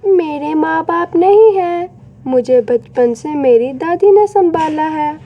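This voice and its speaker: A woman's voice: high voice, expressive, takes it slowly, highs cut off, voice pushed up. A woman voicing a small, plaintive young bird child in a children's cartoon. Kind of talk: children's cartoon story acting.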